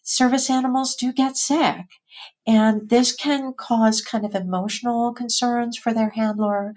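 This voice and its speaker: A woman with an American accent giving articulate, measured explanations as an expert guest in an interview. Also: mid-range voice, a little mellow. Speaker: expert guest, physician and health policy researcher.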